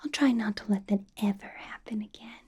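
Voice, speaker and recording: A woman playing a possessive, doting female vampire boss in a whispered ASMR roleplay, coaxing softly with a sweetly sinister edge. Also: medium pitch. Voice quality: breathy, whispered. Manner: slow, coaxing, soft giggly delivery.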